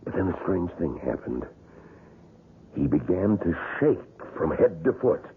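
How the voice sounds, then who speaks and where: A man playing a wax museum attendant in a 1970s radio drama, polite and grim, with a very deep, husky, gravelly voice. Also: big pitch swings, a little slow, narrow, tinny audio, exaggerated voice. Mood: haunted, suspenseful. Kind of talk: 1970s radio drama acting, suspenseful storytelling.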